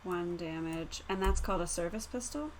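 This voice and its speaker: A woman's voice: lowish voice, normal speed, low-quality recording. A woman playing a tabletop roleplaying game, talking casually with the group. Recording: faint background noise.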